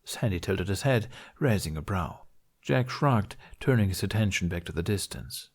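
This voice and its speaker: A man's voice: deep voice, lively intonation, moderate pace, husky. A man reading a fantasy story aloud as a scripted audiobook-style narration. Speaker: podfic narrator reading fan fiction.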